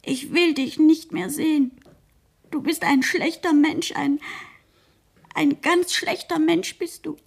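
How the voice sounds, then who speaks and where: A woman's voice: sits high, steady tone, takes it slowly, creaky, exaggerated voice. An actress playing an outspoken, proud teenage girl in a German radio play, speaking earnestly and frankly. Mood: outraged, hurt.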